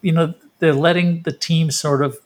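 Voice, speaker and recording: A man's voice: on the high side, steady tone, normal speed. A man co-hosting a sports podcast, giving casual, reflective baseball commentary and thinking aloud.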